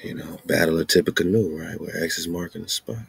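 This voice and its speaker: Man, very low pitch, husky edge, dry sound, informal show host, slangy commentary. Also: steady tone, quick pace, no echo. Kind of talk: casual commentary mixed with reading aloud.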